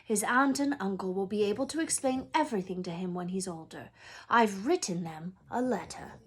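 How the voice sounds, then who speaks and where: A woman voicing a calm, grave, wise old male wizard from a storybook in a measured, hushed and firm way. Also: medium pitch, breathy edge.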